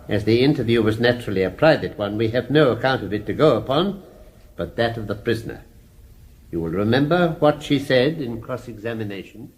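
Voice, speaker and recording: An actor playing a formal, deliberate British judge addressing a jury in a radio drama. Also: male voice, mid-range voice, lightly acted. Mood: measured, solemn. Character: formal, measured male judge summing up a murder case.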